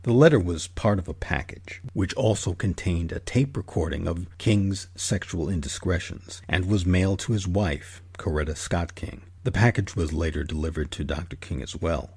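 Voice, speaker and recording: Male host-narrator, gravelly and closely dry-recorded, formal and deliberate scripted documentary read. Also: low-pitched, a little mellow, no echo.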